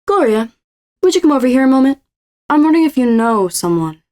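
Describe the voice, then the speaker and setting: An actress playing the devil's daughter, a self-possessed and predatory succubus, in an audio drama. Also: female voice, high-pitched, very animated, a little fast, dark tone. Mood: casual, curious.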